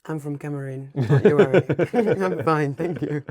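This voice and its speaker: A man's voice: a little high, moderate pace, darkish tone, no room sound, clean studio sound. A young man with a French accent, a guest chatting casually about food, searching for words as he talks. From France. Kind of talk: casual chat.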